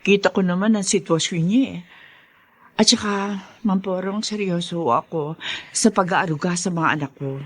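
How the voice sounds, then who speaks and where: A woman's voice: lowish voice, normal speed, lightly acted. A woman playing a hardworking, firm and devoted middle-aged mother in a radio drama.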